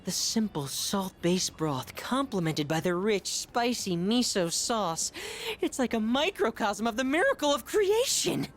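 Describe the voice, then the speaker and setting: An actor voicing a gluttonous, self-important high-school boy in a video game, in a bombastic, theatrical manner. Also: big pitch swings, a little slow. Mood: excited, showy. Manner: bombastic, dramatic swings between squeals and low asides. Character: gluttonous, pompous, theatrical male high-school student, self-styled gourmet.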